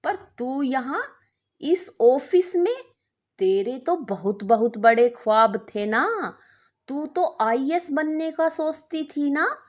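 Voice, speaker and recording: A woman's voice: high-pitched, lively intonation, takes it slowly, darkish tone, voice pushed up. A woman storyteller voicing a nosy, scornful working woman who exclaims and needles with pity. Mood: surprised, probing.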